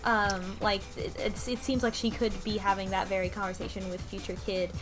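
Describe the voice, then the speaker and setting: A woman talking casually on a discussion panel, speculating in loose, animated runs peppered with "like". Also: mid-range voice, fairly quick. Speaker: book club podcast panelist. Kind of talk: casual discussion of a video game story. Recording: music playing under the talk. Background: background music.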